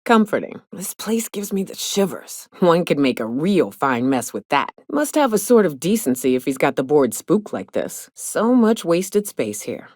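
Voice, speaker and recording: A woman voicing a gruff, tough but caring frontier monster hunter in a video game, with wry, folksy, blunt delivery. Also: low voice, sing-song, normal speed, few pauses, a little mellow, no room sound, clean studio sound. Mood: wry, sardonic. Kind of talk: video game character dialogue.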